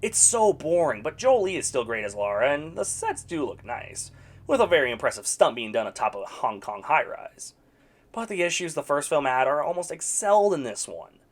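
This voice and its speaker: Wry man with a lively, swinging voice. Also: a little high, moderate pace. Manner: wry and sarcastic, with mock-exasperated outbursts. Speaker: video game movie reviewer. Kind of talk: scripted casual review voice-over.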